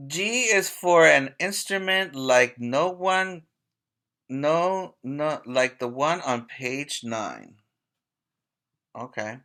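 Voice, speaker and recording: A man teaching preschoolers by reading aloud in a deliberate, emphatic way, pausing to put questions to his young listeners. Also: a little high, animated, sharp tone. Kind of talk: read-aloud lesson for young children.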